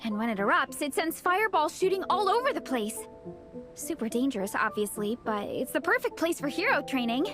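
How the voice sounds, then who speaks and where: A bubbly young hero mentor. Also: female voice, high-pitched, sing-song, fairly quick, over music. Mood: enthusiastic. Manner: bubbly, encouraging, laughs often. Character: upbeat young woman, eager hero-training mentor. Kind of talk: video game voice acting.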